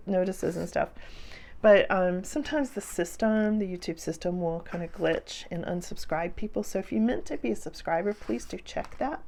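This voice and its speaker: A slightly hoarse woman giving a casual, chatty tarot reading, speaking directly to her viewers and repeating phrases for emphasis. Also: fairly low pitch, darkish tone. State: slightly hoarse after a cough. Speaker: tarot reader and channel host.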